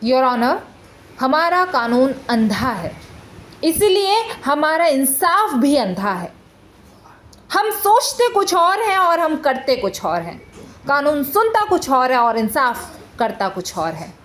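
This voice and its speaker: A woman playing an impassioned, indignant prosecuting lawyer in a theatrical courtroom monologue, speaking with an Indian accent in deliberate, rhetorical phrases with dramatic pauses. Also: high voice, big pitch swings, a bit bright, slight background noise, exaggerated voice. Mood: challenging, rhetorical.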